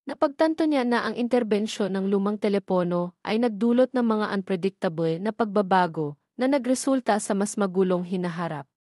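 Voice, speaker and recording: A Filipino woman narrates a scripted romance drama at a medium pitch. Her delivery is that of a storyteller reading prepared text, recorded very dry with no room sound around the voice. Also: animated, fairly quick, darkish tone, dry recording.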